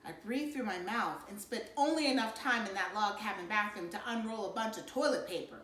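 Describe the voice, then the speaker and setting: A woman reading a children's novel aloud in an expressive storytelling style. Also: mid-range voice, brisk, reverberant. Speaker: storybook reader.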